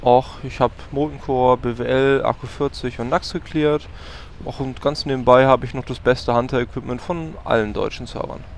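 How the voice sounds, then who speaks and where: A man's voice: medium pitch, steady tone, normal speed, vocal fry, a little hiss or hum, lightly acted. A man playing an arrogant, boastful male hunter who demands pay and perks, in a parody audio drama. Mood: smug. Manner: smug, demanding.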